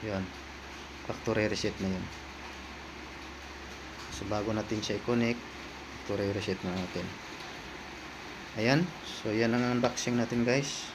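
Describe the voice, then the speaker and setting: A man's voice: lowish voice, unhurried, pauses often, noisy background. A man with a Filipino accent giving a casual hands-on unboxing review, talking informally to viewers and often repeating himself. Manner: informal, repetitive, talking to viewers as he handles items. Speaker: vlogger, product reviewer.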